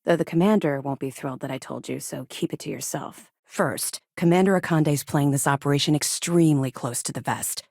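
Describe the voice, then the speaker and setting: A woman's voice: deep voice, a little fast, vocal fry, few pauses, darkish tone, no echo, clean studio sound. A woman playing a tough, driven female military officer in a space game, speaking in a firm, businesslike manner. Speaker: first mate and mission handler. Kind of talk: video game dialogue acting.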